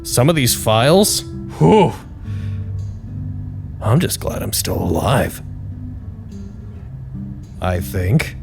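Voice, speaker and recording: A man's voice: on the low side, big pitch swings, drawn-out words, creaky, long pauses, music in the background, full character voice. A man playing an earnest, candid site staffer in an audio drama, speaking a reflective and wryly formal log to unseen superiors. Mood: reflective, grateful.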